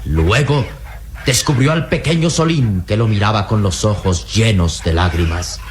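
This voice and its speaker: A man's voice: fairly low pitch, animated, measured pace, a little hiss or hum. A man narrating a radio drama in a dramatic scripted style.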